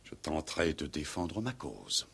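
An actor in a 1960s French radio play playing a proud, middle-aged former Resistance commander, speaking in a grave, formal, solemn way. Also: male voice, extremely low pitch, very animated, measured pace, creaky, fairly bright tone, breathy edge, full character voice. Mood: proud, defiant.

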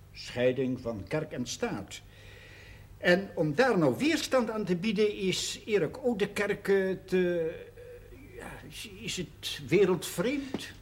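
A male village schoolmaster in a period television drama. His voice sits somewhat high for a man. He chooses his words with tact and speaks earnestly, diplomatically and with some hesitation. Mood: diplomatic, explaining. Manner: careful, diplomatic, hesitant in criticism.

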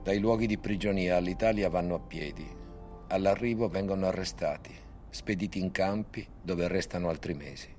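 Very low male documentary narrator. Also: normal speed, darkish tone, faint music behind.

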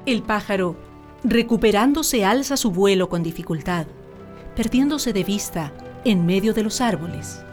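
A woman's voice: medium pitch, animated, moderate pace, a little mellow. A woman narrating a scripted radio drama in a storytelling style. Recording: clear music bed under narration.

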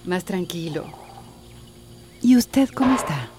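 A woman playing a patient young wife and gentle peacemaker with a Costa Rican accent, soft-spoken and hesitant, in a radio drama. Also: on the low side, very animated, very slow, noisy background, exaggerated voice.

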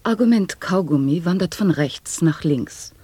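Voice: medium pitch, expressive, a little slow, mellow, breathy edge. An actor performing an earnest announcer of absurd arguments and decrees in an experimental radio play, declaiming formally. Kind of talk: avant-garde radio play recitation of nonsense wordplay.

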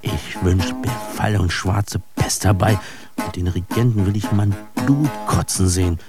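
An actor playing an embittered, disillusioned fighter in a radio drama monologue, declaiming and cursing in coarse words. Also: male voice, low-pitched, unhurried, over loud music. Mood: bitter, cursing.

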